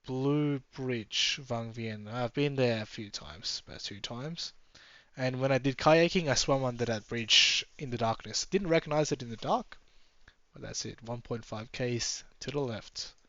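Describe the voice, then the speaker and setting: A man's voice: mid-range voice, slow pace, fairly bright tone, dry recording. A man giving a casual, chatty running commentary from inside a moving car, musing aloud and drifting off topic. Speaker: travel vlogger. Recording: slightly dull, dry sound.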